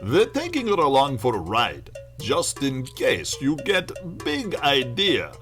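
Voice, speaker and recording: Scheming Russian villain, mock Russian accent, swooping delivery, drawn-out pace, gloating, campy radio play. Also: male voice, mid-range voice, wildly swooping pitch, fairly bright tone, faint music behind, heavily acted. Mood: gloating menace. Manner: hammy, broken English. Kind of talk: campy over-the-top radio satire acting.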